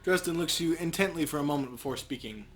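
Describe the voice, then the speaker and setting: A man's voice: fairly high pitch, fast talker, a bit bright, soft background music. A man co-hosting a let's play, chatting playfully and reading on-screen game text aloud. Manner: jokey, playful. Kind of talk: casual gaming chat and narrating on-screen text.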